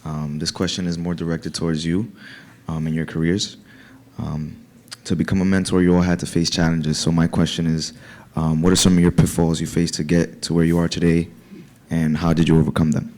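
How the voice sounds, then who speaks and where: A man's voice: sits low, level tone, a little fast, a little mellow. A man in the audience asking a question hesitantly, with many ums.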